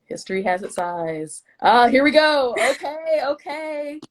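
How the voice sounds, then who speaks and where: A woman's voice: sits high, big pitch swings, unhurried. A woman from the Midwest hosting a live stream in a chatty, self-deprecating way, often tripping over her words. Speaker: host, vice president of the collective.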